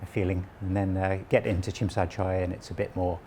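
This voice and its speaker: A man around sixty, originally from Wales, calmly explaining local history and his website as an interview guest, with small restarts. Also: low voice, fairly quick, a little hiss or hum. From southwest Wales. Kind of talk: relaxed conversational explanation.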